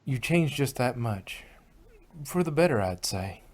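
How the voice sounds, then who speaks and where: A man's voice: mid-range voice, animated, a little slow. A man voicing an honest, plain-spoken female farm pony with folksy country phrasing in a fan fiction reading. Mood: warm, encouraging.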